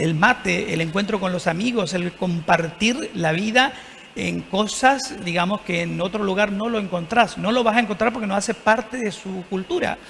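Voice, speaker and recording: Argentine priest, high gravelly voice, earnest and reflective, reverberant studio sound. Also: male voice, a bit bright, slight background noise. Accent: Argentine Spanish. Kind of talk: TV panel discussion.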